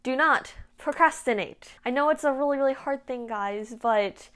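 A woman's voice: high-pitched, moderate pace, fairly bright tone, smooth. A young woman in her late teens giving casual, frank advice to camera as a student vlogger. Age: late teens, high school student. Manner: informal, frank, peer-to-peer. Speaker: vlogger giving application tips. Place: small indoor room at home. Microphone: clip-on lapel mic.

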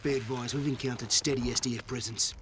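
An actor playing a hard-driving space marine squad leader in a shooter game, giving clipped, urgent orders in a commanding manner. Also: male voice, medium pitch, steady tone, fast talker, lightly acted. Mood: tense, businesslike. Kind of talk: military shooter game dialogue. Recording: loud music and vehicle noise behind.

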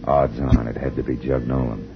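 A man's voice: very deep voice, flat delivery, a little fast, background noise. An actor playing a dry, wisecracking male private detective, narrating and talking in a 1950s radio drama. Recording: muffled 1950 radio broadcast with music.

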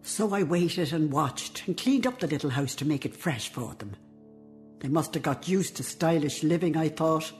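An expressive, homely old Irish woman. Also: deep voice, moderate pace, soft background music. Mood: wistful, anticipating. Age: older. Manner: expressive, heartfelt. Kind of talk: radio drama narration and dialogue.

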